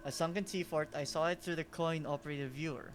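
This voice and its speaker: A man's voice: on the high side, steady tone, brisk, a little hiss or hum. A young man from the Philippines with a Filipino accent, streaming a game and chatting in a rambling, self-deprecating way. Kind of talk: casual game-stream chat.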